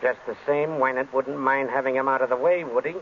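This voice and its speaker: A man playing a harried, blunt police inspector in 1940s radio mystery style, talking brisk and colloquial. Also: mid-range voice, steady tone, close to an everyday voice. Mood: matter-of-fact. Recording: muffled old radio recording, faint noise.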